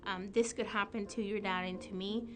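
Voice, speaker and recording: A determined mother in her thirties speaks plainly in a documentary interview. Her voice is medium-pitched, and music plays clearly behind her. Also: fairly even intonation, brisk. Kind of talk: documentary testimony.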